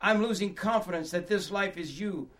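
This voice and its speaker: A man playing a weary, desperate seeker who pours out his confessions in loud, emotional outbursts. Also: high voice, normal speed. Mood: desperate pleading.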